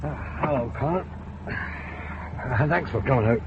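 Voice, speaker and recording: An actor playing a hesitant detective reporting to his boss, halting and careful. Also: mid-range voice, expressive, gravelly. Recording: dull old radio broadcast with faint noise.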